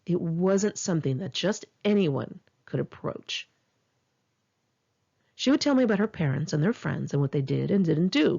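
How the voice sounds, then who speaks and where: Woman reading her memoir out loud, kinda raspy, really animated up and down, dull lo-fi sound. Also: on the low side. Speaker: author reading own memoir. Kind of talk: scripted memoir narration for an audiobook, read in one take.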